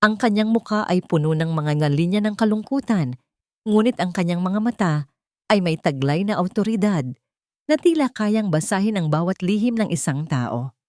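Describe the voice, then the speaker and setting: A woman narrating a scripted dramatic story in a solemn, deliberate, melodramatic way. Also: medium pitch, animated, darkish tone, no room sound, studio quality.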